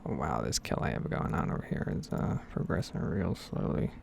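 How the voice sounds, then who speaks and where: A woman speaks in a breathy whisper and soft murmur, musing her way through ASMR game commentary. Her voice crackles with deep vocal fry and wanders freely in pitch. She rambles without hurry, with faint noise behind her. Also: lively intonation, fairly quick. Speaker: gaming ASMR video creator.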